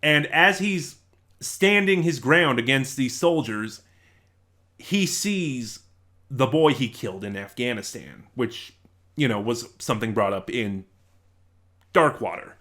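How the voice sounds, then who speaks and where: A man's voice: medium pitch, lively intonation, slow, pushed and bright. Conversational man giving a casual review. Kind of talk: casual spoken audio drama review.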